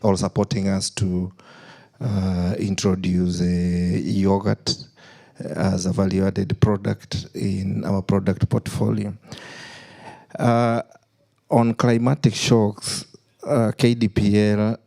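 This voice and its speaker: A Kenyan man speaks on a conference panel in a very low, flat voice, as a company CEO. His delivery is slow and halting, full of "uh" pauses. The tone is gravelly and dark, with a husky edge. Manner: halting, heavy with "uh" fillers. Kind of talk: formal panel remarks.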